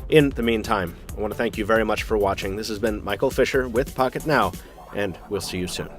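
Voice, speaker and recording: A man's voice: lowish voice, fairly quick, faint music behind. A man hosting a tech show, narrating a scripted product review in an emphatic style with dry, wry asides.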